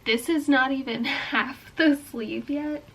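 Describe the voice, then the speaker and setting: Young woman w a cold, kinda high voice, rambly casual crafting chat. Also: slow, fairly bright tone, breathy edge. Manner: chatty, thinking aloud, frequent "like" and "um". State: has a bad cold. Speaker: crochet vlogger. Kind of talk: casual explaining while crafting.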